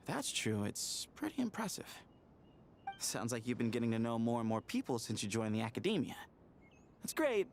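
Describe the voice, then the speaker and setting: Breezy, lively young ranger mentor. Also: male voice, mid-range voice, a little hiss or hum. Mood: easygoing, chiding. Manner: casual, playful, expressive. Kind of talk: video game voice acting.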